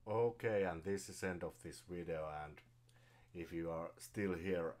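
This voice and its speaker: Very low, slow Finnish-accented man. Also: darkish tone. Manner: halting, searching for words, many fillers and restarts. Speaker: jazz guitar teacher. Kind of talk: casual guitar lesson. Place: small bedroom at home. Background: his own jazz guitar playing.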